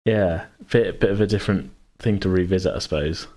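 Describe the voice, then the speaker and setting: Deep-voiced rambling British man. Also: brisk, warm tone. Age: 30s. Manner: rambling, hedging, self-interrupting. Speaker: podcast host and game master. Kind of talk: casual chat.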